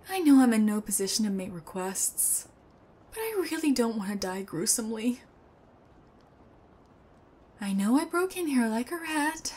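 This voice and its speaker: A woman's voice: sits high, darkish tone. A young woman playing a nervous, chatty, strange young female thief in an intimate ASMR roleplay, with a hesitant, rambling, awkward delivery.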